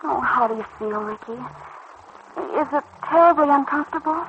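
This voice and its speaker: Vintage radio soap, muffled sound: earnest young sweetheart, tender, worried, halting. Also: female voice, high voice. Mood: anxious, tender. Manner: soft-hearted, hesitant, earnest. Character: tender, anxious teenage girl in love. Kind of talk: mid-century radio soap acting. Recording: muffled, noisy old radio recording.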